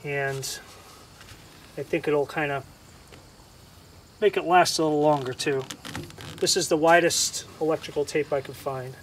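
A man casually walking the viewer through his gear outdoors, relaxed and chatty with frequent ums and restarts, recorded on a handheld camera. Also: fairly high pitch, unhurried, pauses often, a little hiss or hum. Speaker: outdoors vlogger. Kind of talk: casual show-and-tell walkthrough. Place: outdoors beside a canoe.

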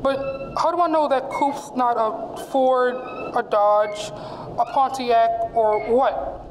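A woman's voice: high voice, very animated, takes it slowly, a little hiss or hum, full character voice, poor audio. A woman playing a shrewd, suspicious, boastful male dealer in a radio mystery, with a wisecracking manner. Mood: smug, suspicious. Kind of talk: 1940s radio mystery acting.

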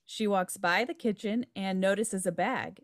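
A woman reads out script directions for a table read. Her voice sits at a middle pitch, recorded on a video call. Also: measured pace. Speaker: stage directions reader. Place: indoor room, video call. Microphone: video call microphone.

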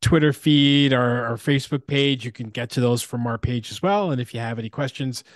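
A man's voice: medium pitch, brisk, no room sound, studio quality. A man hosting a podcast, wrapping up the episode in an upbeat, promotional way. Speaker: podcast host. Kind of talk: podcast outro.

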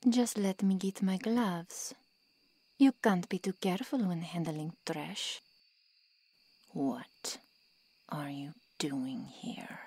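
A woman playing a curt, menacing female vampire in an ASMR roleplay, cold and commanding, with a husky, half-whispered voice. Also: on the low side, slow pace, long pauses, a little mellow, no room sound, exaggerated voice. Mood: cold, disdainful.

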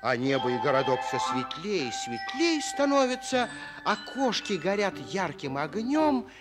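An actor narrating a 1940s children's radio play as a kindly storyteller, expressively addressing young listeners. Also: male voice, sits high, sing-song, unhurried, over music. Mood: storytelling.

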